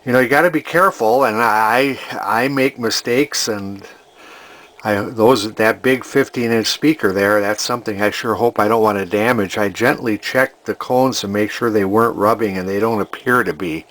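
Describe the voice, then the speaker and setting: A man's voice: on the low side, moderate pace, a bit bright. A man narrating an electronics repair casually, thinking aloud in a hesitant, rambling way. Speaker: vintage electronics repair hobbyist.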